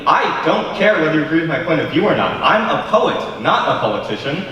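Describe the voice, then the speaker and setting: A young man acting a contrary, cynical drunken poet in a high school stage play, speaking in a deliberate, articulate, argumentative way. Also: sing-song, pushed and bright, muffled recording. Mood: defiant, lecturing. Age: young adult. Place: large school auditorium stage. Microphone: far camera. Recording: distant, echoey auditorium with faint noise.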